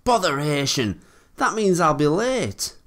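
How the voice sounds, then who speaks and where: A man's voice: mid-range voice, huge pitch swings, unhurried, pitched above the performer's own voice. A man voicing a cocky, impatient young male tank engine with a brash, blustering manner.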